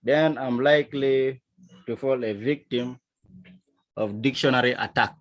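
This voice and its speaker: A man from South Sudan with a South Sudanese accent, teaching a lesson in a deliberate, emphatic manner. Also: mid-range voice. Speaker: instructor. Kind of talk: digital literacy lesson, explaining to learners.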